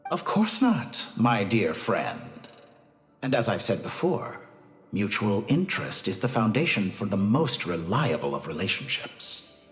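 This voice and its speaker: An actor playing an arrogant, calm mad scientist villain in a video game, speaking in a pompous, deliberate, theatrical way. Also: male voice, lowish voice, roomy sound, soft background music. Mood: silky, amused.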